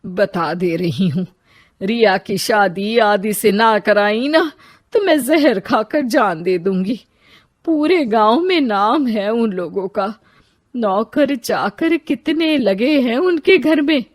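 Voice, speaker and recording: A woman's voice: fairly high pitch, lively intonation, slow pace, no room sound, clean studio sound. A woman voicing a pushy middle-aged village mother in a cartoon story, dramatic and pleading. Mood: threatening, boastful.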